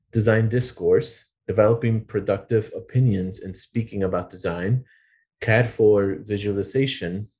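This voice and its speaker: Low-voiced man presenting a design course. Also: dark tone. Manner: earnest, formal, with filler words. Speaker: design educator and program director. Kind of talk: webinar presentation.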